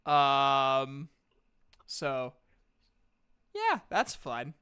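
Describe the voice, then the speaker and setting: A game master narrating a tabletop fantasy game in a halting, conversational way. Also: medium pitch, wildly swooping pitch, pushed and bright, smooth.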